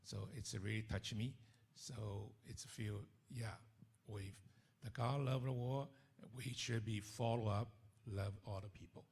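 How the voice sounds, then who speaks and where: A man with a Mandarin accent, a council member giving a halting speech at a community event, searching for his words. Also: on the low side, fairly even intonation, vocal fry, a little mellow, breathy edge.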